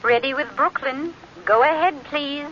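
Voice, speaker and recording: An actress playing a polite, businesslike telephone operator, in crisp and formal 1940s radio drama style. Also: female voice, high voice, measured pace. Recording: muffled old radio broadcast with faint hiss.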